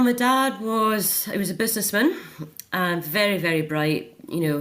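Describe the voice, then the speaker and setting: Middle-aged female interviewee, lowish voice, reflective and articulate, natural personal conversation. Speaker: interview guest, author.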